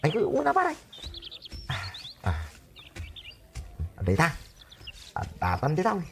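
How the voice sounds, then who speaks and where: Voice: medium pitch, huge pitch swings, slow pace, gravelly, a little hiss or hum, heavily acted. A performer playing a boisterous, scheming villager in an Ilocano radio comedy drama, with exaggerated exclamations. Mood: boisterous, excited.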